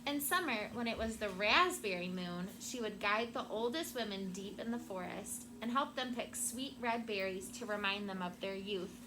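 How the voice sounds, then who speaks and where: A woman teacher reading a storybook aloud to children in a classroom, with expressive, deliberate phrasing and prompting questions. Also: medium pitch. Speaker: elementary school teacher. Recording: very noisy classroom recording.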